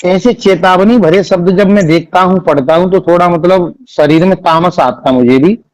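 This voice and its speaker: A man answering interview questions on a call in a formal, measured way with learned, scriptural wording. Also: sits high, poor audio. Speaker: religious singer-storyteller interviewed by phone.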